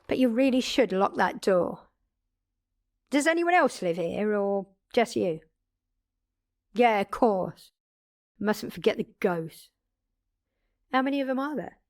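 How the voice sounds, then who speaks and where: A woman playing a blunt, wry immortal vampire mentor in a one-sided audio drama, conversational and teasing in her delivery. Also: medium pitch.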